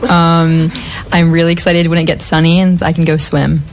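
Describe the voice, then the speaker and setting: A young woman with an American accent talks easily and fluently. Her voice sits a little low for a woman, and faint clicks and noise run behind her. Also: flat delivery, normal speed. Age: young adult. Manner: fluent, chatty. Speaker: exchange student.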